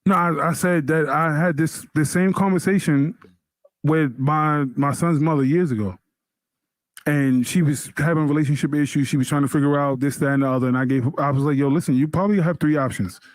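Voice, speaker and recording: A man's voice: fairly high pitch, steady tone, brisk, no echo. Insistent man in his thirties. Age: 30s. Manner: insistent, repeats himself when pressed. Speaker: podcast co-host. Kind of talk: heated casual debate.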